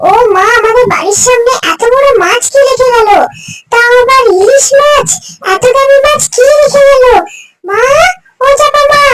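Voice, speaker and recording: A woman's voice: extremely high pitch, fairly bright tone. A woman voicing a humble poor village mother in a children's cartoon, exclaiming dramatically. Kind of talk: Bengali children's cartoon story dialogue.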